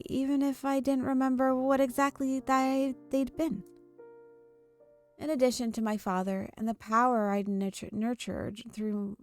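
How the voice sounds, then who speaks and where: A woman streamer reading a visual novel's story aloud, now and then stumbling over a word and rereading it. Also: on the high side. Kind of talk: read-aloud story narration.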